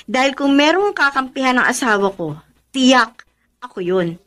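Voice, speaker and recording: Exasperated young filipino wife, high bright voice, radio soap style. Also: female voice, big pitch swings, moderate pace. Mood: weary, complaining. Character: exasperated but dutiful young wife living with elderly aunt. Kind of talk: Filipino radio drama acting.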